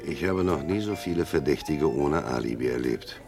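Very deep, gravelly police commissioner. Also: male voice, animated, over music. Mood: dry, polite. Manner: measured, dry questioning. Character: dry, unhurried male Paris police commissioner investigating a murder. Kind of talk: German crime radio play acting.

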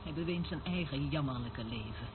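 An actress playing a weary, cynical surveillance worker in a radio play, speaking in a dry, dismissive way. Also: female voice, extremely low pitch, expressive, fairly quick. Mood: weary, scornful. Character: weary, cynical woman working as surveillance eavesdropper. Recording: noisy, tinny band-limited old radio recording.

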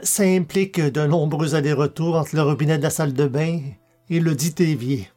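Man, slightly high voice, podcast host, scripted storytelling narration. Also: moderate pace, mellow. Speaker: podcast host and audiobook reader.

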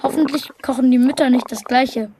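Earnest, naive child reciting for radio play, high voice, noisy backdrop. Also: female voice, fairly even intonation, a little fast. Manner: earnest, matter-of-fact recitation. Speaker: actor in a radio drama.

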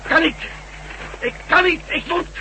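An actor playing an emotional, struggling former astronaut hero with intense, halting delivery in a science fiction radio drama. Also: male voice, very high voice. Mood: desperate, strained. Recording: faintly noisy old radio recording.

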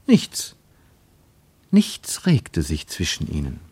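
A man with pronunciation reading literary prose for radio, measured and deliberate, with emphatic phrasing and pauses. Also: very deep voice, wildly swooping pitch, darkish tone, husky, dry recording. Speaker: narrator, reader of literary prose. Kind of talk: scripted literary narration for German radio.

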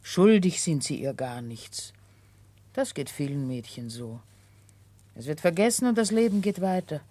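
A practical, plain-spoken old housekeeper in a vintage German radio play. Her voice is rough-edged and husky, with lively swings in pitch, close and dry. She sounds motherly and matter-of-fact. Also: a little slow, no echo, full character voice. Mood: reproving, practical. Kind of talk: 1960s German radio drama acting.

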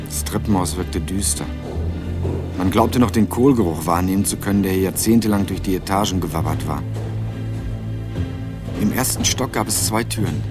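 Young male lead narrator, low voice, dry and ironic, music beneath. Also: moderate pace, pauses often. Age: young adult. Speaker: narrator and main character. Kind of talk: first-person crime radio drama narration.

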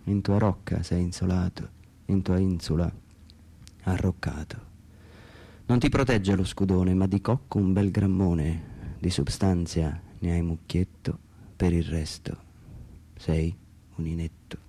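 Man, dramatic radio-theatre reading, husky, dark mellow tone, brooding, theatrical delivery. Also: low-pitched, fairly even intonation, a little slow, long pauses. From Umbria, Italy. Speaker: author reading own text.

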